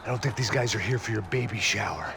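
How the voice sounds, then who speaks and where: An actor playing a weary, hard-bitten middle-aged American action hero in a game, with a deadpan, sardonic manner. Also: male voice, lowish voice, fast talker, gravelly, noisy background. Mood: tense, urgent. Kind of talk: noir scripted narration and game dialogue. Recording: loud music over the voice.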